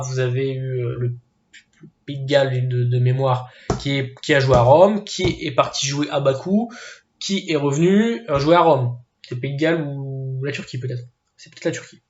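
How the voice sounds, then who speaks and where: A man giving his casual opinions, hesitant, with many fillers and restarts. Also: medium pitch. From Portuguese family background. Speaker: football video commentator. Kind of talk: casual spoken opinion piece. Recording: echoey room, dull lo-fi sound.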